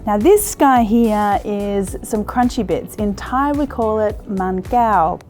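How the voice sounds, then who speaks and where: A woman's voice: medium pitch, takes it slowly, darkish tone, faint music behind. An Australian woman in her thirties hosting a cooking show, chatting through a recipe step by step in an encouraging, easygoing way and laughing easily. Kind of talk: cooking demonstration.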